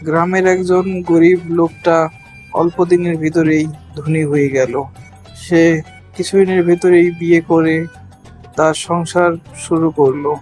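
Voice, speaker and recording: A man's voice: a little high, steady tone, measured pace, darkish tone, faint music behind. A man reading scripted narration for a cartoon moral story.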